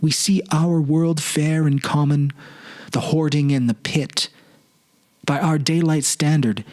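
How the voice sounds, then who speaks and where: A man giving a dramatic literary narration in a solemn, measured, pensive manner. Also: mid-range voice. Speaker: narrator, series producer and presenter.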